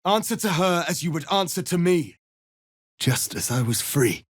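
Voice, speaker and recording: A man playing a proud, solemn alien warrior prince in a fantasy video game, speaking in a formal, commanding, deliberate way. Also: a little high, expressive, no echo, clean studio sound. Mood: fierce, rousing. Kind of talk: dramatic fantasy game acting.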